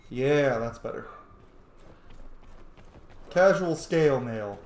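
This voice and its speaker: A man's voice: mid-range voice, lively intonation, slow pace, darkish tone, reverberant. A man giving casual, chatty gaming commentary as a let's play host, reacting aloud as he plays.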